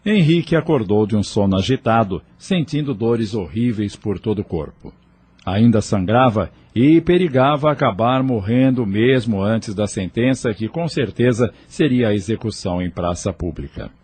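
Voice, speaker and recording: A man's voice: on the low side, lively intonation, moderate pace, darkish tone, husky. A man narrating a scripted audio novel as a storyteller. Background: faint music.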